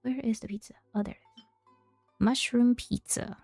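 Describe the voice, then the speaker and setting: A woman's voice: fairly high pitch, steady tone, moderate pace, creaky, a little mellow, no echo. A young woman giving casual, chatty and playful gameplay commentary as a streamer. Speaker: game streamer. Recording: faint game music behind. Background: video game music.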